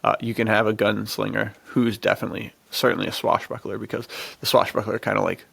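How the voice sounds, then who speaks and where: Guy on a podcast, super fried gravelly voice, mid pitch, dry close sound, slangy chat. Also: level tone, quick pace, fairly bright tone, dry recording. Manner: informal, slangy. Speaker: podcast co-host, author. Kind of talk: casual chat.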